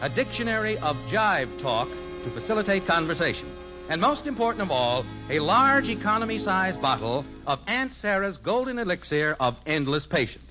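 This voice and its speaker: A man's voice: high voice, sing-song, normal speed, sharp tone. A man with an American accent narrating a 1950s radio sitcom in a genial, folksy, theatrical announcer style. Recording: muffled old radio broadcast with music.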